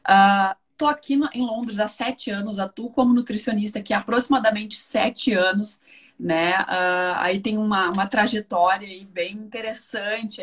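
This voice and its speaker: A woman's voice: medium pitch, breathy edge. A woman with a southern Brazilian accent, explaining conversationally as a guest nutritionist in a live online talk, chatty, with emphatic repetition and frequent tag questions.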